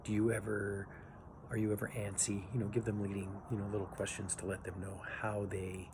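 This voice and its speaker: A man who teaches young children, giving an informal spoken reflection on his assignment in a halting way, pausing as he thinks aloud. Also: lowish voice. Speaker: pre-K teacher and education student. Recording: noisy recording with steady background noise.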